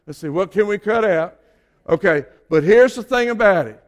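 An older man preaching a sermon, his voice hoarse, building to shouted emphasis. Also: high-pitched, animated, moderate pace, big-room sound.

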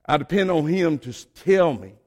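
Hoarse older preacher in a reverberant hall. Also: male voice, a little high, animated, moderate pace. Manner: preaching with rising shouted emphasis. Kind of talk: sermon.

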